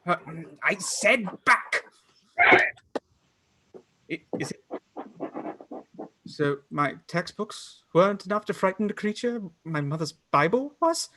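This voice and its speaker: A man acting in a radio play as a bookish, excitable young student with a theatrical, formal delivery. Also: high-pitched, slow, pauses often, background noise, heavily acted. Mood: alarmed, defiant.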